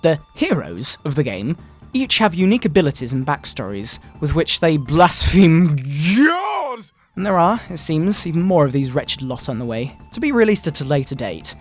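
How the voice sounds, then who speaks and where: Man putting on a pompous, high-pitched fire-and-brimstone moral crusader. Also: very animated, soft background music. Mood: indignant, preachy. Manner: pompous, melodramatic preaching with drawn-out words. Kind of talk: mock-dramatic satirical reading.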